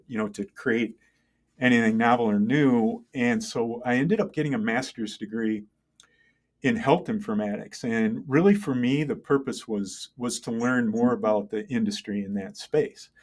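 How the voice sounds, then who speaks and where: A middle-aged man speaking as an interview guest, conversational and halting, thinking aloud and often checking in with the listener. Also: fairly low pitch. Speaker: interview guest, tech entrepreneur and engineer. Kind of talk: conversational interview, telling career story.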